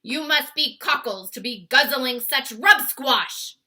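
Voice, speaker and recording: A woman voicing a huge, gruesome male giant from a children's storybook, a loud bully who booms out garbled insults. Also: slow pace, heavily acted. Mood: disgusted, scornful. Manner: booming, shouting insults in garbled ungrammatical English.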